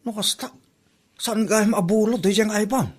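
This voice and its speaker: A man's voice: high-pitched, moderate pace, slightly rough. A man acting a gossipy, disapproving village husband in an Ilocano comedy drama, with a Filipino Ilocano accent.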